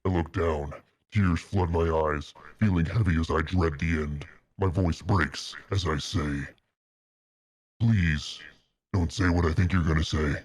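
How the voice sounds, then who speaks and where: A man's voice: sits low, steady tone, a little slow, no echo. A man reading fan fiction aloud on a stream, mock-earnest and melodramatic, with a casual style. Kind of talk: dramatic narration with casual asides.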